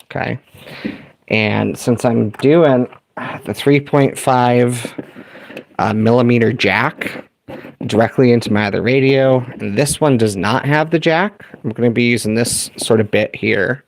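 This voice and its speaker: A man casually showing off his shortwave radios, explaining his gear step by step with a relaxed, joking manner. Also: medium pitch, measured pace, vocal fry. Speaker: radio hobbyist vlogger. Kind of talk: casual show-and-tell.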